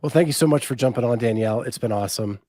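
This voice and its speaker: A man's voice: mid-range voice, racing, mellow, no echo. A man hosting a podcast, asking easygoing questions and reading out listener comments. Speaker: podcast host. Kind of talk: casual podcast interview.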